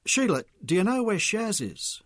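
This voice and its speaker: Man playing an agitated, high-strained betrayed husband. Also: lively intonation, fast, no room sound, studio quality. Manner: agitated, urgent questioning. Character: agitated, bewildered working-class husband, betrayed and angry.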